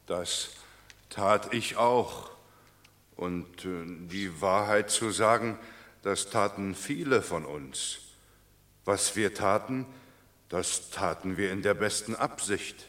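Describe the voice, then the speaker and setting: An actor playing a sheepish, excuse-making commoner in a classical verse radio drama, hesitant and apologetic. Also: male voice, lowish voice, slow, fairly bright tone.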